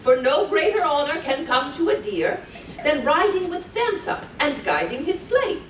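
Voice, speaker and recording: Heard from a distance in an echoing room, a woman plays a cheerful, grandmotherly storybook wife of Santa. The voice is high and sing-song, gently encouraging as it tells rhymed tales to children and chats between verses. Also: sing-song, moderate pace, highs cut off. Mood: bright storytelling. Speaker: character storyteller at a children's event. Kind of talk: live storytelling to children, rhymed picture-book reading. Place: indoor room on a cruise ship. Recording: distant mic, roomy echo, faint noise.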